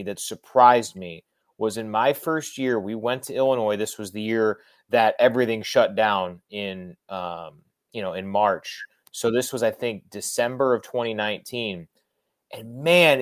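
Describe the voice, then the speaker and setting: A man's voice: on the low side, unhurried. A man giving casual, emphatic sports analysis as a guest on a podcast.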